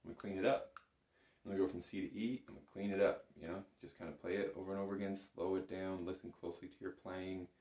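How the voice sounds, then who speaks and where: A man with a low voice teaches music informally in a roomy, echoing space. He explains each step conversationally, in an encouraging and modest manner. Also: darkish tone. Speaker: bagpipe instructor. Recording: roomy, echoing indoor sound.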